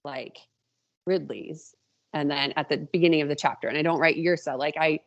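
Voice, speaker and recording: Woman, podcast guest, lowish pitch, gravelly vocal fry, casual, reflective. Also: fairly even intonation, moderate pace, highs cut off. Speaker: podcast guest, indie author. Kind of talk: casual interview chat.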